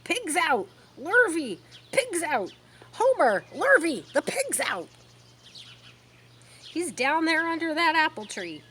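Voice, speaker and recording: A woman voicing an alarmed farmer's wife in a storybook, calling out loudly for the men. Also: high-pitched, very animated, slow, pauses often, a little hiss or hum, voice pushed up, full character voice. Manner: shouting, calling out.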